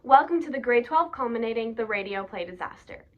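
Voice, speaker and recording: Young woman, student director, prepared welcome speech, fairly high pitch, slightly muffled home recording. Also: lively intonation, brisk. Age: young adult. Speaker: student director introducing a play. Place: small plain indoor room.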